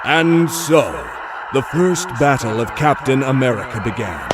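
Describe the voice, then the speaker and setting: A man reading scripted audio drama narration in a measured, suspenseful storytelling manner. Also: medium pitch, lively intonation, far from the mic, background noise.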